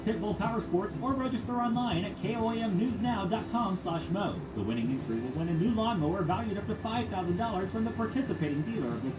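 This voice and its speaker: A man's voice: sits high, far from the mic. A man reading an upbeat, salesy station giveaway promo. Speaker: promo announcer. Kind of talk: scripted promo read. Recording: echoey with loud backing music.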